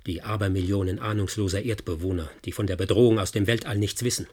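An actor in a German radio play playing a defiant, guilt-ridden scientist with a grave, deliberate delivery. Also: male voice, fairly low pitch, fairly even intonation, warm tone, breathy edge. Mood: determined, threatening.

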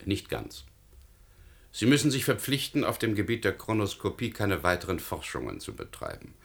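An actor playing a cold, controlling government official in a German radio play, formal and measured in manner. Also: male voice, low-pitched, fairly bright tone, full character voice. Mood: coldly authoritative.

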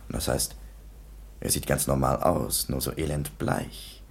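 A weary police commissioner in a radio play, reporting in a dry, matter-of-fact way. His voice sits very low and grinds with gravel. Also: steady tone, unhurried, a little hiss or hum, exaggerated voice. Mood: stern. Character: stern, tired police commissioner.

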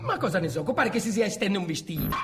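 A man's voice: high voice, sing-song, quick pace. A man playing a scoffing, derisive gossip in comic audio drama dialogue. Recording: loud music over the voice.

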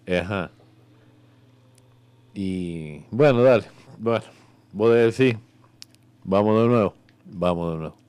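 An actor playing an easygoing, evasive celestial clerk in a comic radio play, rambling and hesitant, with a colloquial Argentine accent. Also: male voice, fairly low pitch, slow, long pauses, darkish tone, smooth. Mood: hesitant, casual.

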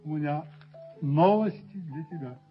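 A man playing a stern, self-important, miserly landlord and father in a radio play, speaking in a pompous, deliberate way. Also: a little high, soft background music, close to an everyday voice. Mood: fatherly, coaxing. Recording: dull 1970s radio drama recording.

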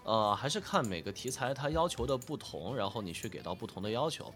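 A man's voice: medium pitch, lively intonation, fairly quick, a bit bright, faint music behind. A man with a nasal, stuffed-up voice, talking casually as a guest voice actor, modest and thinking aloud as he explains his work. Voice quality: nasal from a cold. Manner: modest, self-deprecating, thinks aloud with repetitions. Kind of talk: casual interview answers, explaining dubbing work.

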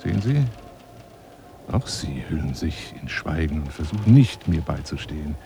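A man's voice: a bass voice, sing-song, normal speed, vocal fry, warm tone, a little hiss or hum, full character voice. An actor playing a lonely, self-important bookish man in a radio drama monologue, theatrical and rambling, often dropping to a near-whisper. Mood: self-pitying.

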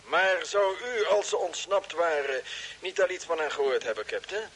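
Husky, dutiful radio operator. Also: male voice, on the high side, lively intonation, moderate pace, a bit bright, heavily acted. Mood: respectful, worried. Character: dutiful, worried male radio operator at polar base. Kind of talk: old Dutch radio drama acting.

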